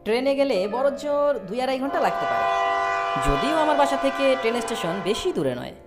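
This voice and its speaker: Woman as a young storyteller, animated intonation. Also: high-pitched, fast. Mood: reflective. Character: polite young city student narrating a journey. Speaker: narrator. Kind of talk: scripted first-person story narration. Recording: prominent music behind the voice.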